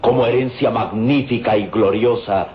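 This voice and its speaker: An actor playing a solemn, loyal Egyptian disciple who guards an ancient secret, speaking in a formal, portentous manner for radio drama. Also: male voice, fairly low pitch, fairly even intonation, moderate pace, close to an everyday voice. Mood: grave, mystical. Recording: dull, noisy vintage radio recording.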